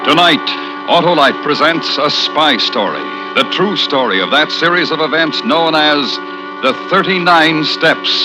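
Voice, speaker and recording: An American man formally announcing a 1950s radio suspense show in a measured, dramatic style. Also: on the high side, low-quality recording. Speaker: program announcer. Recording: old radio broadcast, loud music.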